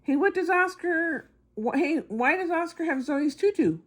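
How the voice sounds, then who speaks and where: Woman voicing an excitable little monster boy, high-pitched. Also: normal speed. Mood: eager. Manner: childlike, eager, speaks of himself by name. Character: small, excitable, affectionate young monster boy. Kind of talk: children's picture book read-aloud.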